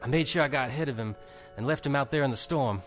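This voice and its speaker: An actor playing an anxious, accusing camp cook in a horror film, speaking with urgency. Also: male voice, mid-range voice, fast, soft background music, lightly acted. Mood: urgent accusation.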